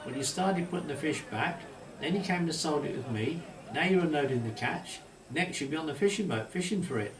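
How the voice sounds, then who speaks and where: Guy doing a jolly cornish fisherman, higher and teasing, echoey story recording. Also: lively intonation, brisk, lightly acted. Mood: joking, teasing. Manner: hearty, teasing. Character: jovial Cornish fishing village men. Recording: distant mic, roomy echo, faint hiss.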